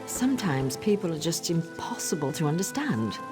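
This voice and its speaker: A woman's voice: low voice, very animated, normal speed, music in the background. An actress playing a polite, flustered young female scholar in a video game. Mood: puzzled, slightly hurt. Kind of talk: video game character acting.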